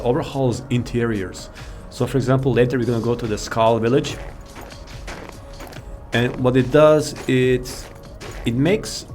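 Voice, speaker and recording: A man hosts a relaxed game walkthrough in a medium-pitched voice. He rambles informally and sounds keen on what he shows. Soft music plays underneath. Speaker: video game mod showcase host. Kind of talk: casual game walkthrough commentary.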